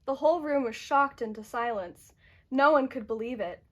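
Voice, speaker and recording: A woman's voice: sits high, normal speed, dull audio. A woman narrator reading scripted dramatic storytelling for a puppet show.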